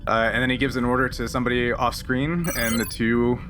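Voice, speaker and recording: A man narrating a tabletop game as game master, halting and thinking aloud as he improvises. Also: medium pitch, flat delivery, over music.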